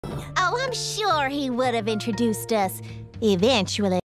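A spirited young imp woman from a cartoon. Her voice is fairly high and very animated, with music behind it. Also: a little slow. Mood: reassuring. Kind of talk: animated series acting. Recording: music under the voice.

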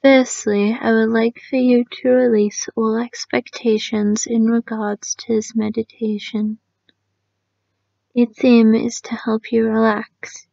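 A woman leading a guided sleep meditation in a soft, calming manner, often trailing off into a near-whisper. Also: on the high side, gravelly, dry recording. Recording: dry, slightly muffled lo-fi sound.

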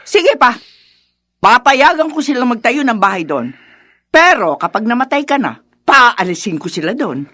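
Radio drama role: haughty, grasping Filipino daughter, high-pitched, wildly swooping, demanding delivery. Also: female voice, very animated, moderate pace, a bit bright, dry recording, clean studio sound. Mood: furious, defiant. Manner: indignant, whining, demanding. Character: haughty, greedy, spoiled adult daughter.

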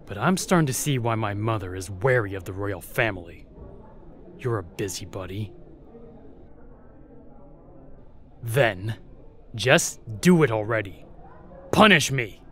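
A man's voice: fairly low pitch, expressive, fairly bright tone. A man playing a jaded, defiant young spy at a royal court in an audio roleplay, sardonic and guarded. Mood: exasperated, resentful. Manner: sardonic, bitter, guarded, with pauses.

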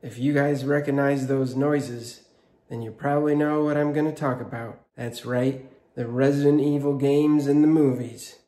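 Young male guest reviewer, average pitch, casual talk-to-camera delivery. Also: steady tone, moderate pace, dark tone. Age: young adult. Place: small room at home, indoors.

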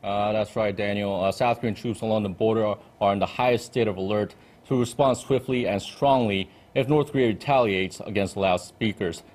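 A man's voice: lowish voice, fairly quick, darkish tone. A man in his thirties delivering a live news report as a correspondent from an indoor briefing room. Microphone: handheld-style reporter mic on desk.

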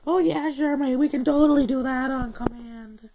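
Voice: sits high, fairly even intonation, moderate pace, gravelly, noisy background, voice pushed up. A performer voicing a cheeky, chatty dingo with a mock Australian accent in a homemade puppet-style story.

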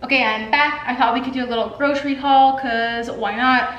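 A woman's voice: sits high, brisk, dull audio, loud music behind the voice. Chatty young woman vlogging at home. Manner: chatty, many "like" fillers, animated hand gestures. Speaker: artist vlogger. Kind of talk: casual vlog chat. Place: home living room and kitchen, indoors. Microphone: camera on a stand. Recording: echoey room.

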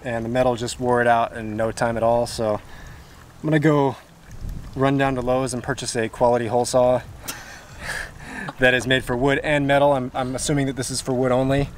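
A man's voice: mid-range voice, level tone, moderate pace, a little hiss or hum. A man in his thirties, a van build vlogger, casually explaining his DIY plumbing work to a close handheld camera in a garage workshop, chatty and self-deprecating. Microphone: handheld camera close to face.

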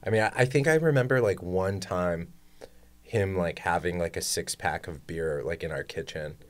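A young man with a very low voice, chatting loosely and rambling, with plenty of "like" fillers. He speaks into a studio boom mic in a small podcast room. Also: normal speed. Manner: relaxed, rambling, lots of "like". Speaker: podcast guest. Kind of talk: casual chat. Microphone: studio mic on boom arm, headphones.

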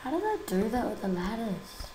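A young woman with a croaky voice from a cold, chatting casually and giggling while she plays a game. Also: a little high, sing-song, moderate pace, warm tone, roomy sound, slight background noise, low-quality recording. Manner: chatty, giggly, easily exasperated. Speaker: gaming YouTuber. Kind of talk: casual gameplay commentary.

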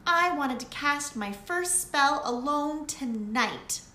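A woman voicing a young girl witch, eager and excitable, in a storybook reading. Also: slow, slight background noise, exaggerated voice. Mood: insistent. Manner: high, excited, breathless pleading. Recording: echoey room with soft music.

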